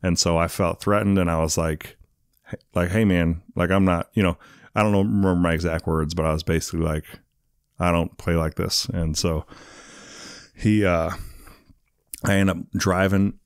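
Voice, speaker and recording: A man's voice: low-pitched, steady tone, normal speed, pauses often, no room sound, studio quality. A man telling his life story as a guest in a casual interview, rambling with lots of fillers. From England. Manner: rambling, many fillers, "you know what I mean".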